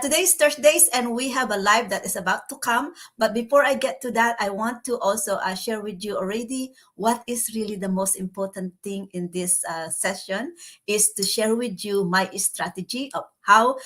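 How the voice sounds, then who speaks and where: A woman's voice: medium pitch, fairly bright tone. A chatty, cheerful woman with a Filipino accent, whose first language is Tagalog, talking casually to her viewers. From Philippines. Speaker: vlogger and business mentor.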